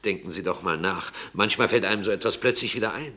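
An actor playing a lawyer who speaks in a measured, matter-of-fact way. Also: male voice, deep voice, pushed and bright. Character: lawyer, calm businesslike man. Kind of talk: radio play acting.

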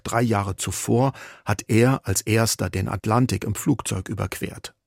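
A male radio host with a gravelly, husky voice and a somewhat low pitch, delivering scripted narration with a light, wry touch. The recording is close and completely dry. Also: no echo.

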